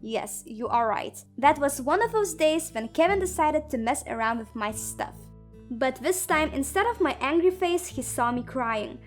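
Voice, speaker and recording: Young woman, high voice, sarcastic storytime narration, soft music behind. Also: animated, moderate pace. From Lithuania. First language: Lithuanian. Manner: sarcastic, dramatic and self-mocking. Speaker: animator and narrator. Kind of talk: comedic animated storytime narration.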